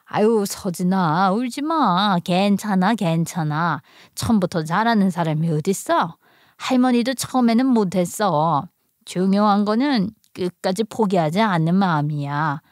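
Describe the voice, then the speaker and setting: A woman voicing a gentle, encouraging elderly country grandmother in a soothing, tender, unhurried way. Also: medium pitch, lively intonation, dry recording, studio quality, natural voice.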